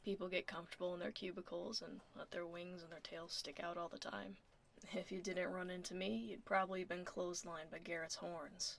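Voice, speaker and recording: A woman playing a blunt but caring dragon lady at the office in a soft-spoken, casual ASMR roleplay, with a dry, low-key manner. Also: level tone, a little fast, creaky, slight background noise. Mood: easygoing, curious.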